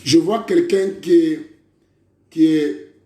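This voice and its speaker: A man's voice: a little high, steady tone, takes it slowly, warm tone. A man preaching a prophetic sermon, declaiming in repeated phrases and calling listeners brother. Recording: echoey room.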